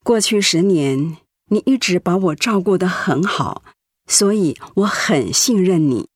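A woman's voice: on the low side, measured pace, no echo, clean studio sound. Weary, devout middle-aged mother. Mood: calm, trusting. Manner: calm, accepting. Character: weary, devout pastor's wife, stoic ailing mother. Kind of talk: Mandarin radio drama acting.

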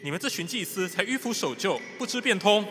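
A man's voice: high voice, lively intonation. An actor playing a defiant young man who challenges his father, angry and rebellious, in an audio drama. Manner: defiant, challenging, quick to anger. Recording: reverb with faint music.

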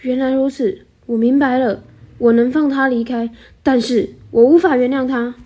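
A woman's voice: sits high, fairly even intonation, moderate pace, dark tone. A young woman playing a stern, grieving old king and father in an amateur radio drama. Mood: shaken, grieving. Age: young adult. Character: angry grieving older king, a suspicious father. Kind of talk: student radio drama acting.

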